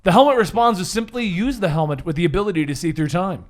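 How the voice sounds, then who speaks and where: Casting: male game master, notably high voice, animated improvised storytelling for a superhero tabletop game, often amused. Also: fast.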